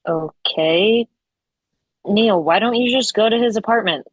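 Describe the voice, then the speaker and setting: A woman performing a motherly, straight-talking cook in a drama table read. Her pitch sits in the middle, frank and familiar, on a dry, close recording. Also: measured pace, slightly rough, no echo. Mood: encouraging.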